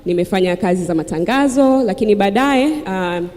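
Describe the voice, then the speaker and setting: A woman's voice: medium pitch, animated, brisk, a little mellow. A woman on a panel telling her life story in a reflective, storytelling manner. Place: conference hall with audience. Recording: reverberant hall.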